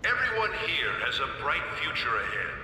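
Echoing hall, pompous male principal, formal oratory, very sharp bright voice, swooping intonation, game. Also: sing-song, normal speed, full character voice. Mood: solemn, formal. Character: pompous middle-aged male school principal addressing assembly. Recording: echoey hall, rumbling noise.

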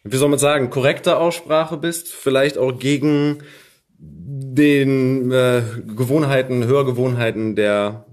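A man in his thirties speaks as a podcast host. His pitch is average for a man, and he interviews in a loose, chatty way. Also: normal speed, hardly any pauses. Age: 30s. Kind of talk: casual conversational interview.

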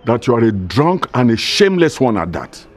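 A man's voice: mid-range voice, very animated, moderate pace. An actor playing a proud middle-aged father in a film drama, cutting and emphatic, with a Nigerian accent. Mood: scornful. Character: proud, scornful father defending his household.

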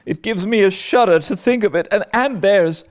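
A man's voice: high-pitched, moderate pace, voice pushed up, close to an everyday voice. A man voicing an eager, imaginative young girl in a storybook, breathless, pleading and melodramatic. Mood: dramatic, fearful. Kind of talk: storybook character reading.

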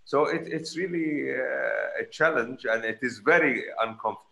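A middle-aged man speaking as a surgeon on a video call, halting and repeating himself but emphatic. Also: medium pitch, gravelly, pushed and bright. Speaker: surgeon panelist. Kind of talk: panel discussion over video call. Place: home room with curtains. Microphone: video call, computer mic.

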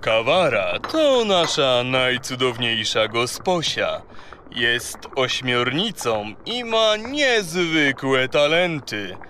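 A proud, gracious king of the sea in a children's audio play. His delivery is slow, theatrical and courtly, with a forceful bright tone. Water noise sits faintly behind him. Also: medium pitch, sing-song, exaggerated voice. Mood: courtly, curious.

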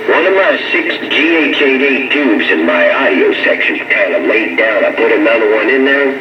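A man's voice: mid-range voice, animated, moderate pace, loud music behind the voice. A man chatting casually over CB radio in folksy slang, repeating his catchphrases. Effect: voice heard over CB radio. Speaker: CB radio operator. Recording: muffled, echoey CB radio transmission.

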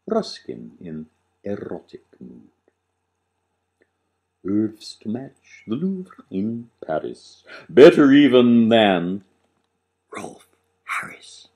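An older man recites satirical rhyme in a low voice that swings widely in pitch. He takes his time, leaning on key words with theatrical relish and then dropping away. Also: darkish tone. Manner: dramatic, deliberate delivery with emphatic swells and drops. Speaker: author reading own verse. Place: small living room, on a sofa, indoors.

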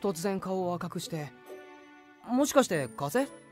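A woman playing a flustered, earnest, good-natured teenage boy in an anime audio drama, with stammering, excitable delivery. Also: lowish voice, a little slow. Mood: gentle. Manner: stammering, excitable, reacts in outbursts. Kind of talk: Japanese anime drama CD acting. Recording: faint music behind the voice. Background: faint background music.

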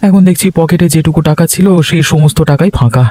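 A man's voice: on the high side, quick pace, warm tone, natural voice. A man voicing a harried, penniless village husband in a cartoon, plaintive and complaining. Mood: worried, complaining. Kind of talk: animated cartoon dialogue.

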